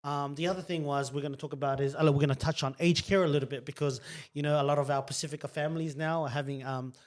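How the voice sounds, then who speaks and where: A man with a fairly high voice hosts with eager, rambling energy, chuckling as he goes. His long sentences wander and circle back before landing on the point. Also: steady tone, fast talker. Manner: enthusiastic, rambling, laughs between phrases. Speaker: podcast host. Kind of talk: casual show hosting.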